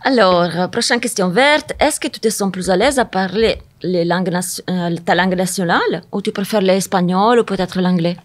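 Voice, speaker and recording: A woman interviewing a colleague for a corporate videocast, moving through her questions in a brisk, friendly way. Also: on the low side.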